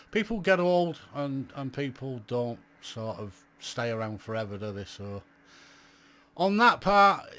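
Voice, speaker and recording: A man's voice: mid-range voice, a bit bright. Man, middle-aged, blunt, chatty, rambling vlog talk. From England. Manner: blunt, chatty, rambling with many rhetorical questions. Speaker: amateur radio YouTuber. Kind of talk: casual vlog monologue to viewers.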